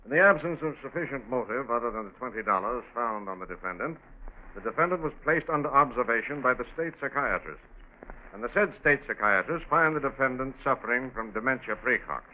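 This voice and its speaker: An actor playing a solemn, formal judge reading out a ruling in measured 1940s radio style. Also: male voice, mid-range voice, expressive, lightly acted. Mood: grave, official. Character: solemn, formal male judge passing sentence in court. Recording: tinny, faintly noisy 1940s radio broadcast.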